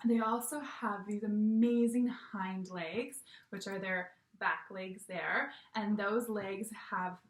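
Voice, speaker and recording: A woman giving a gentle, patient online lesson to young children from her home, often checking in with "okay?". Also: mid-range voice, steady tone, slow pace, few pauses, far from the mic. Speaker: teacher. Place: room at home. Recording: echoey room, voice a bit off-mic.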